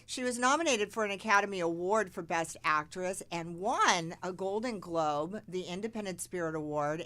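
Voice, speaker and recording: A woman hosting a talk podcast, reading guest introductions with emphatic, slowed stresses and asking friendly questions. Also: medium pitch, fairly bright tone. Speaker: podcast host. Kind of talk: hosted talk show interview.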